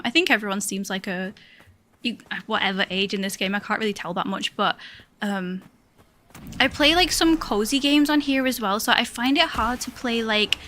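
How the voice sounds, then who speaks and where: A shy woman with a high voice chatting hesitantly while streaming a game, full of fillers and little laughs. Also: pushed and bright. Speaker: live streamer. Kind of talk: casual chat while playing a game.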